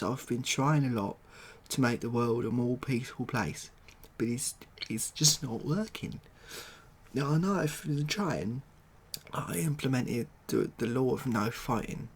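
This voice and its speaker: A man performs a dim but well-meaning President of the World with an English accent. The voice is breathy and husky, with a gravelly creak. He whines softly and hesitantly, pleading and repeating himself in an improvised ASMR comedy style. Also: mid-range voice, measured pace. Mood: defensive, weary. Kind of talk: ASMR comedy roleplay interview, soft improvised monologue.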